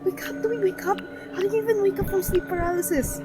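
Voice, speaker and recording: A woman's voice: very high voice, steady tone, fast talker, over loud music. A woman streaming a horror game, reading aloud and reacting nervously, often repeating herself.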